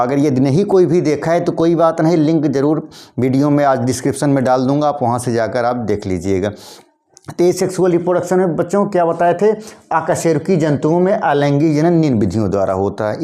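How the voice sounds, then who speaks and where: Hoarse man teaching biology a cold. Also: fairly high pitch, lively intonation, brisk, mellow. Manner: repetitive, addresses students as kids, frequent throat clearing. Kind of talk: classroom lesson addressing students.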